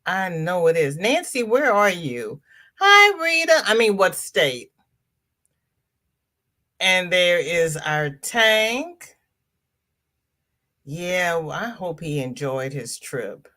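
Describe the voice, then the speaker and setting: A woman's voice: fairly low pitch, big pitch swings, slow, long pauses, pushed and bright. A congested woman hosting a casual live crafting chat, greeting viewers by name and reading out their comments. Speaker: livestream host.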